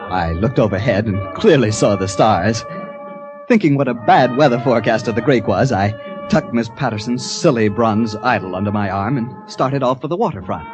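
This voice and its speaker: A man's voice: mid-range voice, natural voice. An actor playing a fussy, anxious traveler, hesitant and a little prim, narrating his misadventure in a radio drama. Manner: hesitant, stammering, slightly prim.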